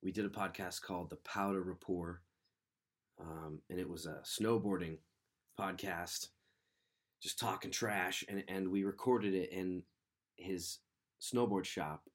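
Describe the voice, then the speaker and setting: A man hosting a podcast, a bit nasal and sniffly from a cold, talking in a relaxed, rambling, earnest way. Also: low-pitched, measured pace. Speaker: podcast host. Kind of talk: casual podcast intro.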